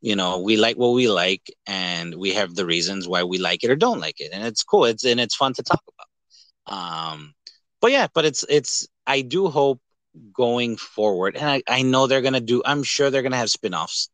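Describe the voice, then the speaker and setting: A man hosting a casual movie podcast, talking in an animated, rambling, jokey way with some swearing. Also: mid-range voice, moderate pace, dry recording. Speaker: podcast host. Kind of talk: casual movie review chat.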